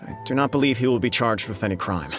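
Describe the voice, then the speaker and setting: A courteous, formal official of the shogunate, speaking with diplomatic politeness, as an actor plays him for a game. Loud game music fills the background. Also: male voice, medium pitch, fast, close to an everyday voice.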